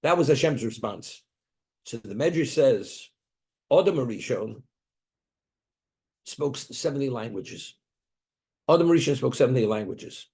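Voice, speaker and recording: A man's voice: medium pitch, animated, measured pace, long pauses, darkish tone. A man giving a religious Torah lecture, emphatic, often repeating and restarting words as he explains. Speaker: Torah teacher.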